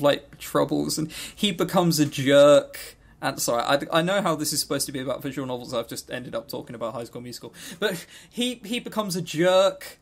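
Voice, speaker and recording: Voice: medium pitch, gravelly. Sarcastic, rambling podcast co-host. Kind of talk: casual, tangent-prone banter about visual novels.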